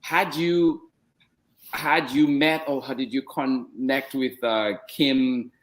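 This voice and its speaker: A man's voice: mid-range voice, steady tone, takes it slowly, low-quality recording. Man casually hosting a chat. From immigrant to the United States. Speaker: story time host, interviewer. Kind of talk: casual chat with halting, rephrased questions.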